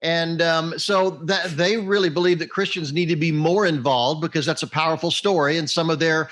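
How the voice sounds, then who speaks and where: A man's voice: fairly high pitch, steady tone, moderate pace, a bit bright. A man speaking as an interviewer in a conversational interview style.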